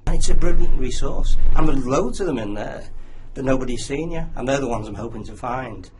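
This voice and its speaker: A man's voice: medium pitch, expressive, brisk, low-quality recording. A man with a Liverpool accent, an interview guest telling stories conversationally and repeating words for emphasis. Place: small community radio studio. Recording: clear background noise, room ambience.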